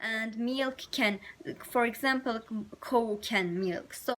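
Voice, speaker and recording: Bright high-voiced woman, native Armenian speaker. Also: slow pace. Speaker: host giving English study tips. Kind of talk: talking to camera, advice vlog.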